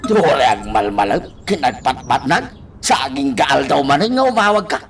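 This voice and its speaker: A man acting an excitable, scheming, muttering character in an Ilocano radio drama, with exaggerated delivery. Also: mid-range voice, moderate pace. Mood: sly, muttering. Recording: music bed behind the voice.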